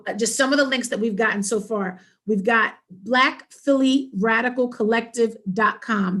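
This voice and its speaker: Female panel moderator, middle-aged, slightly high voice, speaking on a video call from home. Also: a bit bright. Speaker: panel host. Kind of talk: moderating an online discussion. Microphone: computer mic on a video call.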